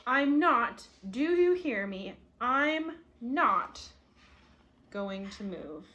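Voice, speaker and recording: A woman's voice: a little high, very animated, very slow, sharp tone, lo-fi sound, exaggerated voice. A woman reading the part of a stubborn, indignant young boy from a picture book, with emphatic delivery. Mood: defiant. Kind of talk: picture-book read-aloud.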